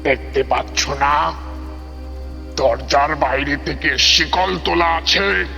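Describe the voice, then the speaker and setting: An unearthly, tormented ghost of a man, taunts in a horror audio drama. The voice is high for a man and dragged out extremely slowly. It rings in a long, hollow reverb. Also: pushed and bright, heavily acted. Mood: commanding, eerie. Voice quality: creaky, unearthly, anguished voice. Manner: slow, drawn-out, taunting delivery. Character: unseen ghostly male patient, eerie, mocking, tormented. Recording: long cavernous reverb, music underneath.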